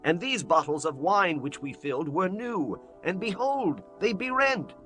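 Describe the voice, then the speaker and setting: An actor playing a humble, wily ancient envoy who pleads solemnly and deliberately in a dramatized scripture audiobook. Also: male voice, high voice, lively intonation, a bit bright, soft background music. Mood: humble pleading. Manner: solemn, deliberate biblical delivery.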